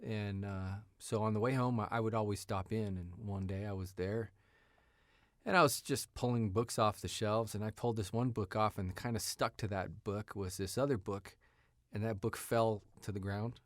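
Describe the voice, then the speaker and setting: A man in his fifties telling stories casually as an interview guest, in a halting, meandering way. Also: lowish voice, steady tone, no room sound, studio quality. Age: 50s. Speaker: writer, actor, interview guest. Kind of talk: casual conversational storytelling. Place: radio studio.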